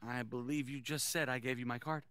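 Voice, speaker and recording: An actor playing a fast-talking, overeager small-time sports agent with an emphatic, grandiose sales pitch in a video game cutscene. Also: male voice, fairly even intonation, a bit bright, smooth, dry recording, lightly acted. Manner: emphatic, theatrical, salesman-like pep talk.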